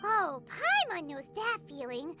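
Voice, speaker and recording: Super high squeaky little anime game fairy sidekick, chirpy n eager, really bouncy, loud music behind. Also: female voice, huge pitch swings, a little slow, full character voice. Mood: curious, matter-of-fact. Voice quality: squeaky, childlike. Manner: refers to self in third person. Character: tiny chirpy floating companion sprite, childlike and eager.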